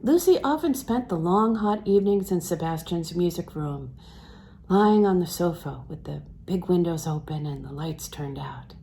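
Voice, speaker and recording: Low-voiced, mellow woman reading a novel. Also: expressive, normal speed, a little hiss or hum. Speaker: audiobook reader. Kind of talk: literary novel read aloud.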